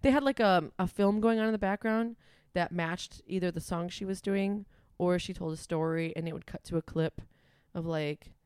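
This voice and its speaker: A young adult woman with a Wisconsin accent, a musician chatting casually in an interview, full of "like" and "um" and quick to laugh. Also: on the low side, darkish tone, clean tone, no echo, clean studio sound. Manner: chatty, frequent "like" and "um", laughs easily. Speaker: musician guest.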